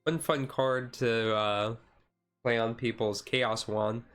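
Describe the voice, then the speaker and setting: A man streaming casual, chill card game commentary in a halting, rambling way with frequent asides. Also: mid-range voice, steady tone, fairly bright tone, faint music behind. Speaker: streamer host.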